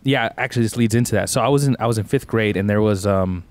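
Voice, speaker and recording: A man's voice: fairly low pitch, fast, darkish tone, no echo. A young man from Tucson, co-hosting a podcast and telling a rambling, laid-back story, often saying "bro". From Tucson, Arizona. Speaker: podcast co-host. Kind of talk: casual storytelling chat.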